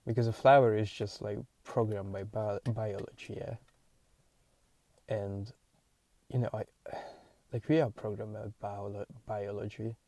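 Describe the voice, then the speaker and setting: Hungarian man musing aloud, gravelly. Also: fairly low pitch, flat delivery, mellow, dry recording. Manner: halting, searching, thinking aloud with many restarts. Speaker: podcast host. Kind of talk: solo musing monologue.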